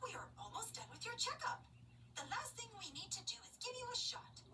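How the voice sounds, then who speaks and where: A reassuring lady doctor from a picture book, played by a woman reader. Her voice is very high and lilting as she explains things gently. The recording is distant, with clear background noise. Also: sing-song, brisk, full character voice. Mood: gentle, reassuring. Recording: noisy, distant home microphone.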